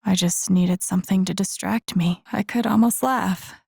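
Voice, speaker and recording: Game role: wounded young woman, introspective inner monologue, mellow and close-miked dry sound, creaky edges, understated delivery. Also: medium pitch, steady tone, normal speed, dry recording. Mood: troubled, resolute. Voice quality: soft, breathy, husky. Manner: subdued, understated, confiding. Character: pensive, wounded young woman recalling a hard childhood.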